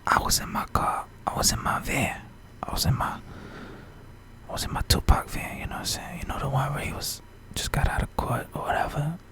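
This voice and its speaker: A man's voice: mid-range voice, steady tone, a little fast, bright, forceful tone. A young adult American man whispering an ASMR story in a slangy, rambling way, often repeating himself and checking in with the listener.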